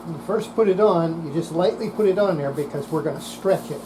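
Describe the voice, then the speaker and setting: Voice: mid-range voice, brisk, noisy background. A person narrating hands-on restoration work in a casual way, talking through each step while working. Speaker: host demonstrating radio restoration.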